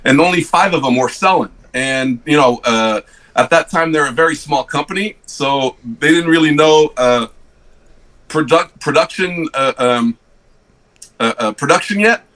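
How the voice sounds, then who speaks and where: A man's voice: fairly high pitch, moderate pace, a bit bright, poor audio. A middle-aged man from Switzerland, a guest in a casual podcast chat, telling stories with many fillers and restarts. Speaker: cigar rep guest.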